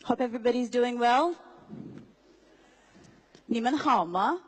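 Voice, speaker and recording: A woman's voice: fairly high pitch, lively intonation, measured pace, slightly rough, rough recording. A young woman giving a talk to a live audience, engaging and rhetorical, repeating phrases for emphasis and putting questions to the crowd. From Somali heritage, raised abroad. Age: young adult. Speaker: student speaker addressing an audience. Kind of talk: public talk.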